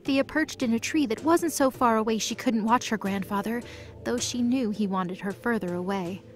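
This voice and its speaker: A woman's voice: fairly high pitch, moderate pace. A woman reading scripted story narration as the narrator of a mythology audio drama. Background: music.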